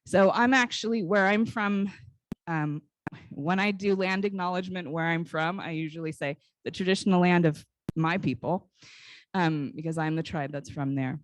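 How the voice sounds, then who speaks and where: An American woman in her forties giving an informal public talk in a conversational, personal storytelling way, with frequent pauses. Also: fairly low pitch, no room sound. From Northern California, United States. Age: 40s. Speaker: guest speaker, basket weaver and cultural educator. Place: live talk at a conference.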